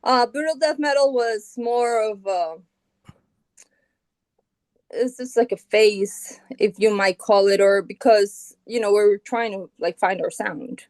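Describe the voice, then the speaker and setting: A woman's voice: mid-range voice, slow pace, a little mellow, dry recording. A middle-aged woman from Colombia, a founding member of a band, chatting casually in an interview and thinking aloud with plenty of fillers and restarts.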